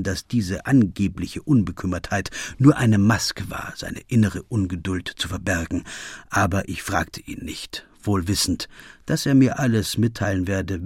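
Detective's loyal companion and narrator: husky, lowish, measured, dry studio recording. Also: male voice, no room sound, heavily acted. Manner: measured, literary storytelling. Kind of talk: scripted radio play narration.

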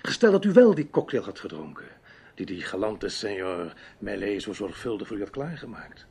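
An actor playing a sly, menacing gentleman who speaks with theatrical, teasing courtesy in a Dutch radio drama. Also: fairly low pitch, big pitch swings, moderate pace, gravelly, slight background noise. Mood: teasing, ominous. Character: sly, menacing foreign gentleman lurking in the dark.

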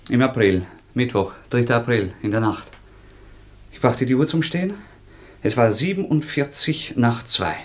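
An actor in a radio drama playing a feverish, obsessive young former student, halting and repeating himself with intensity. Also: male voice, medium pitch, close to an everyday voice. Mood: obsessive, intense. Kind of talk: German radio drama acting.

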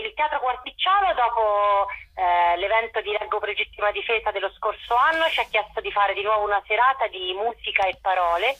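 A woman's voice: mid-range voice, highs cut off. A woman answering as a guest in a conversational radio interview, explaining things informally. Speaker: interview guest, festival organiser.

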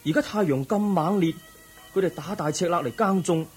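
A man's voice: sits high, brisk, soft background music, lightly acted. A man playing a thoughtful, compassionate young crown prince in a Cantonese audio drama, earnest and questioning. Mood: curious.

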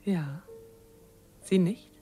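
A woman's voice: fairly low pitch, drawn-out words, warm tone, soft background music. Dreamy teenage girl. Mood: dreamy, coy. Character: dreamy, innocent teenage girl, governor's romantic daughter. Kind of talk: radio drama dialogue.

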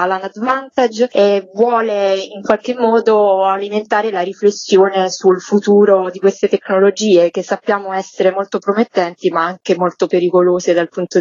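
A woman's voice: medium pitch, darkish tone, no echo, dull audio. A woman giving an informative radio interview as a curator, explaining things in formal, articulate phrases.

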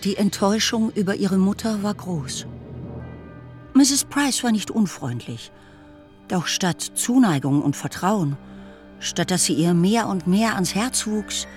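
A woman's voice: mid-range voice, a little mellow. A woman reading scripted literary narration as the narrator of a radio drama. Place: studio. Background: music.

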